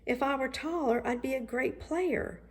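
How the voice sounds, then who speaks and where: Earnest young boy for a storybook, performed by a woman, expressive, pitched up from her own voice. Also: moderate pace, husky. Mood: dejected, earnest. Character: young boy, earnest, dejected, then excited and determined. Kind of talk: children's storybook read-aloud.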